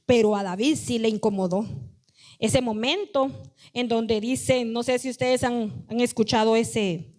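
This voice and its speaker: A woman's voice: a little high, fairly even intonation, unhurried, a little mellow. A woman pastor preaching a sermon in a conversational, emphatic way, speaking directly to the congregation and acting out quoted voices.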